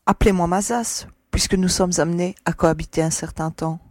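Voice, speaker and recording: A self-assured young woman agent played by an actress in a science fiction audio drama. She is direct and frank, with a fairly low pitch and a dry, close sound. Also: fairly even intonation, a little fast, a little mellow, no echo, natural voice. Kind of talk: French audio drama acting.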